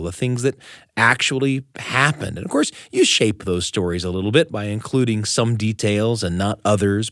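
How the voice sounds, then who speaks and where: A man's voice: medium pitch, no room sound, clean studio sound. A man hosting a storytelling show, narrating in a conversational, thoughtful and explanatory way with emphatic phrasing. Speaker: radio storytelling show host.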